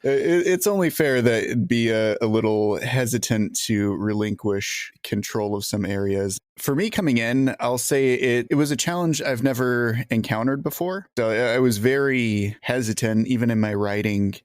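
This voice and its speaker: A man's voice: mid-range voice, normal speed, few pauses, dry recording, studio quality. A man chatting casually in an interview as a podcast writer.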